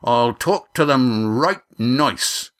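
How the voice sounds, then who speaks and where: A man acts out a hard-bitten deckhand in a seafaring storybook. He talks in a laconic, rustic way, unhurried and sure of himself. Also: mid-range voice, animated, a bit bright, dry recording, pitched above the performer's own voice. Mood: quiet menace. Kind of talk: audiobook character acting.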